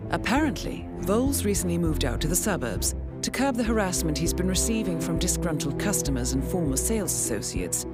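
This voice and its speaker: Casting: actress to play a cool, professional female mission handler for a video game, formal and dryly understated, with animated intonation over clear music. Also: medium pitch, close to an everyday voice. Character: composed, coolly professional female handler briefing an assassin. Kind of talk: scripted video game mission briefing narration.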